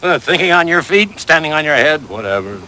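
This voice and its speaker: A man's voice: on the high side, very animated, brisk, pushed and bright, a little hiss or hum, low-quality recording. An older man playing a playful, rambling grandpa in a sitcom, with jokey mock-pompous talk. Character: playful old grandpa, goofy and romantic. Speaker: grandfather figure, newly engaged. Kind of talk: sitcom acting.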